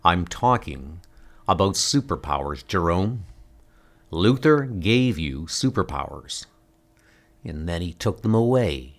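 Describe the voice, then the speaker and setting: A man voicing a grave, earnest older scientist hero in a comic book dub, explaining things deliberately. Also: low voice, very animated, full character voice. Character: grave older male scientist hero hunting missing niece.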